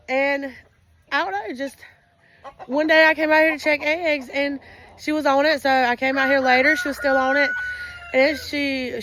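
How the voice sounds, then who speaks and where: A hoarse woman chatting casually at her chicken coop, rambling with lots of fillers. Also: sits high, fairly bright tone. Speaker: backyard chicken keeper. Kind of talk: casual vlog chat. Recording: noisy outdoor farmyard recording. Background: chickens clucking and a rooster crowing.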